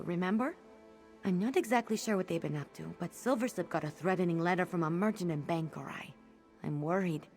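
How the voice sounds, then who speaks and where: A woman playing a loyal, warm-hearted young former pickpocket in a video game, speaking in an earnest, heartfelt way. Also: on the low side, close to an everyday voice. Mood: worried, resolute. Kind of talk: video game character dialogue.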